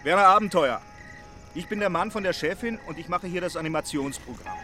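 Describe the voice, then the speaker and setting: An actor in a radio drama playing a jovial, eager holiday farm entertainer, chummy and announcing to guests. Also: male voice, high-pitched, expressive, fairly quick, over music.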